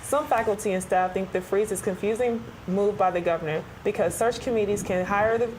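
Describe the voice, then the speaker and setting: A woman anchors a student newscast with crisp, scripted reading. A faint noise sits under her voice. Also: medium pitch, brisk, a little mellow, low-quality recording. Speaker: news anchor.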